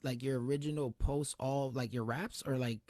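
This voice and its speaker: A man's voice: medium pitch, fairly even intonation, normal speed, mellow, no room sound, studio quality. A man from New Jersey hosting a casual interview, chatty and full of restarts and fillers. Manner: chatty, many fillers and self-corrections. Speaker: host.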